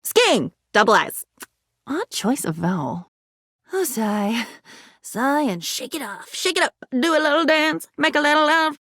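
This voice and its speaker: A high, swooping female voice plays a living diary come to life in a video game. She is wistful, curious and excitable, musing haltingly and moving theatrically between hushed thought and exclamation. The sound is dry and close. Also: no echo, exaggerated voice. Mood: giddy, rambling. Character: sentient diary, wistful, curious, excitable, newly self-aware woman.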